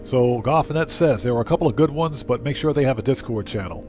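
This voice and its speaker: A man's voice: medium pitch, expressive, brisk, a little mellow, soft background music. A man hosting a casual gaming livestream, talking informally to viewers as he plays. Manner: conversational, informal, reads out chat and answers it. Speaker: live streamer, channel host. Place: indoor room at home.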